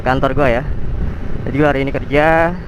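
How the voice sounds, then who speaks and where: A man's voice: mid-range voice, steady tone, a little fast. A young man, chatty and slangy, narrating a vlog while riding, his voice nasal and congested from a cold. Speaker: motovlogger. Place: on a motorcycle in city traffic. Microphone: helmet or action cam mic. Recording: wind and traffic noise.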